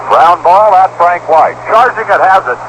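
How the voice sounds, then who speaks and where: An American man calls a baseball game live in a play-by-play style. His voice sits a little high for a man. The 1970s radio recording is clearly noisy. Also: lively intonation, measured pace.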